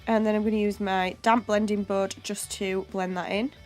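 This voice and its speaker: A woman's voice: medium pitch, level tone, normal speed, a little mellow. Young girl w a cold, chesty voice, chatty makeup voiceover, faint music behind. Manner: casual, chatty, step-by-step explaining. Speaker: beauty vlogger. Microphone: home voiceover mic.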